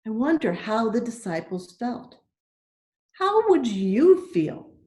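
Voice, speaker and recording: Slow-paced woman reading a children's story. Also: mid-range voice, a little mellow. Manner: deliberate, simple phrasing with questions to young listeners. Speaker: church storyteller. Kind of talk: children's Bible story read aloud. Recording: echoey room.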